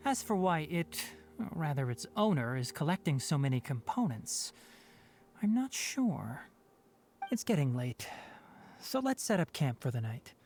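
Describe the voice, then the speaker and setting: An actor playing a composed, analytical young male forest healer in a video game, articulate and measured. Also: a little high, very animated, darkish tone, slight background noise. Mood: thoughtful. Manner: articulate, measured, matter-of-fact.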